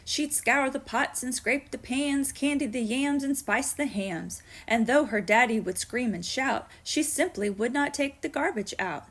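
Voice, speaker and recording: A woman teacher doing a children's read-aloud of rhyming poems in an expressive storytelling manner. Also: high voice, unhurried, few pauses.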